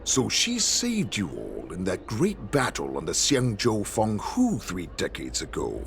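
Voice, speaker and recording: An actor playing a gloating wolf-man warlord in a game cutscene, slow and mocking, with a deep gravelly voice. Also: huge pitch swings, noisy background, heavily acted. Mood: taunting. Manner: slow, taunting, with savage laughter. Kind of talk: video game cutscene acting. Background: faint game score.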